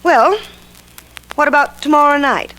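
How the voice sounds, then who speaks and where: A woman's voice: high-pitched, measured pace, fairly bright tone. A woman playing a plain-spoken Broadway leading lady in a 1940s radio drama, blunt and slangy. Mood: brisk, blunt. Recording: noisy 1940s radio recording.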